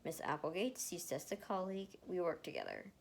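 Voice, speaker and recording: A woman's voice: lowish voice, wildly swooping pitch, a little fast, creaky, exaggerated voice. Wry young man and older brother voiced by a woman, casual fanfiction read, background noise. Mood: teasing. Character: dry, teasing big brother, a young man. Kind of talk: amateur fanfiction read-aloud.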